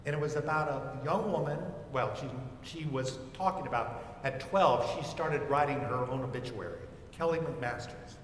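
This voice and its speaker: A man's voice: moderate pace, hardly any pauses. Older man reflecting in an echoey hall. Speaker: panelist, psychotherapist and former chaplain. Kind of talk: reflective panel talk. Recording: echoey hall, rumbling background noise.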